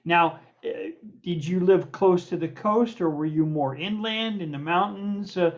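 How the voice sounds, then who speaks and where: A man hosting an interview, asking friendly questions, repeating words and pausing with fillers. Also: sits high. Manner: halting, repeats words and fills with uh. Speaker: podcast host and interviewer. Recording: muffled lo-fi audio.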